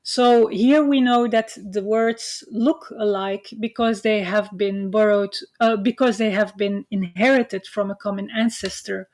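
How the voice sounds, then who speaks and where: A woman's voice: medium pitch, steady tone, a little slow, few pauses, a little mellow. A woman whose first language is Dutch, giving an online university lecture with frequent "uh" fillers and a hesitant, explanatory manner. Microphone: computer mic on video call.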